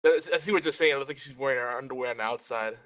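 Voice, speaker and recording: A man chatting casually as a podcast co-host, explaining things in a loose, halting way. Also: mid-range voice, a bit bright. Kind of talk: casual chat. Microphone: online voice chat mic.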